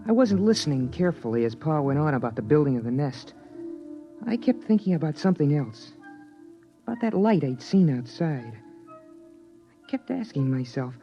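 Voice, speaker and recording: A man playing a young son who narrates his family's life, in earnest, wondering 1950s radio drama style. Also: on the high side. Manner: earnest, wide-eyed first-person narration. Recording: dull 1950s radio broadcast with music behind.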